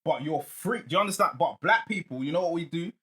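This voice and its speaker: A man, a podcast guest, talking in an earnest, measured way in a casual chat. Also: on the high side, expressive, sharp tone, slightly rough. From England.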